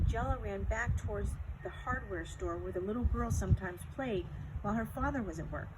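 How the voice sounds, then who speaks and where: A woman reading a scripted story aloud as its narrator. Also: mid-range voice. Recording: clearly noisy background ambience.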